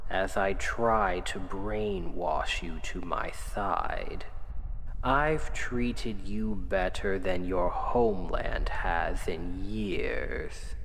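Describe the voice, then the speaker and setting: A man playing a manipulative, self-justifying demon king in an ASMR roleplay, speaking in a deliberate, persuasive, condescending way. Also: fairly low pitch, heavily acted. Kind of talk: ASMR roleplay, scripted dramatic monologue. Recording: long hall-like reverb.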